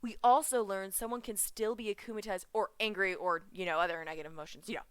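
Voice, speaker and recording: A young woman chatting in an excited fan reaction vlog, giggling, making puns and drifting off track. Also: medium pitch, fast, smooth, no echo. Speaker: vlogger reviewing a cartoon episode.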